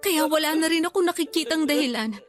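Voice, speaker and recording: A woman acts as a young Filipino daughter in a radio drama. The voice is very high, earnest and emotional, polite yet firm, with faint music underneath. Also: fast, natural voice. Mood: hurt, firm. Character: worried, upset young daughter, respectful but firm.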